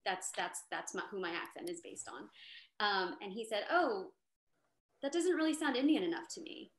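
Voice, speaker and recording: A woman's voice: medium pitch, moderate pace. Chatty woman actor in an echoey room. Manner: animated, chatty, many fillers and restarts. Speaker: actor on a panel. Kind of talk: casual panel storytelling. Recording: roomy echo.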